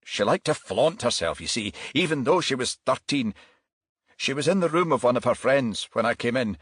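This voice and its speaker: A man voicing a bitter, evasive murder suspect in an audiobook, halting and defensive as he justifies himself. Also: medium pitch, animated, slightly rough, dry recording, clean studio sound, voice pushed up. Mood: bitter, self-justifying.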